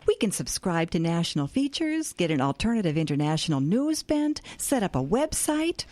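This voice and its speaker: Naive, breezy grandma for radio play, woman performer; very animated melody, dry recording. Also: fairly low pitch, normal speed, dry recording. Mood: earnest, defensive. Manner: airy, breezy, scatterbrained. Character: cheerful, naive hippie grandmother starting a small newspaper. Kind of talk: audio drama acting.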